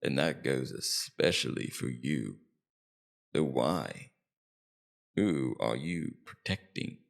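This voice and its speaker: A man playing a suave, menacing demon king in an ASMR roleplay, coaxing and condescending in a slow, deliberate, domineering way. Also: very deep voice, animated, gravelly, heavily acted. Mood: condescending, probing. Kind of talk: ASMR roleplay character acting.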